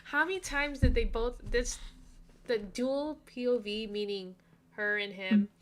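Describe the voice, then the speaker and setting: A woman chatting casually as she co-hosts book reviews, with an expressive, dramatizing way of talking. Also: on the high side, measured pace, poor audio. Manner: expressive, exaggerates mock dialogue for emphasis.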